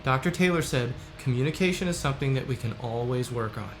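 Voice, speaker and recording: An actor playing a frustrated, earnest husband in a musical audio drama, speaking in a pressing, wounded way. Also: male voice, medium pitch, lively intonation, fast talker, slight background noise, natural voice. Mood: earnest, apologetic.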